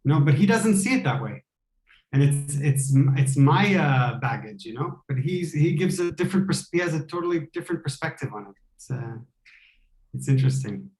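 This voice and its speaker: A man's voice: fairly high pitch, expressive, brisk, darkish tone, roomy sound, rough recording. A man who produces documentary podcasts, thinking aloud over a video call and restarting his sentences as he reflects. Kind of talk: casual conversation on a video call.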